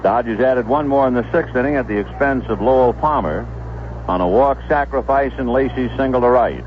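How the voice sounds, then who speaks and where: A man doing live radio baseball play-by-play, describing the action in measured phrases with pauses between them. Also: medium pitch. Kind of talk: live radio sports commentary. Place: stadium broadcast booth. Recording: muffled 1970s AM radio broadcast, background noise.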